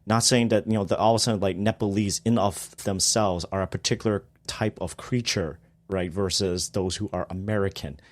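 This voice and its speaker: A man's voice: on the low side, steady tone, a little fast, few pauses. A man, a guest on a podcast, explaining theology in a casual discussion with emphatic bursts on key points.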